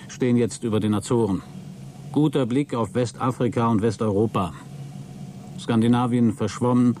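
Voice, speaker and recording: A man's voice: medium pitch, darkish tone, a little hiss or hum, lightly acted. An actor playing a wry male astronaut in a radio play, giving clipped, matter-of-fact flight reports.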